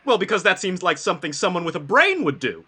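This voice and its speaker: A woman playing a naive, eager, bumbling living scarecrow in a live fantasy table read, with halting delivery. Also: on the low side, big pitch swings, bright, forceful tone, husky, exaggerated voice. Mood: sheepish.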